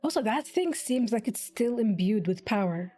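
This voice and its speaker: High-voiced woman casually reacting to gameplay. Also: animated, normal speed, dark tone. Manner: wry, sceptical, thinking aloud. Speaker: gaming streamer. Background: game music.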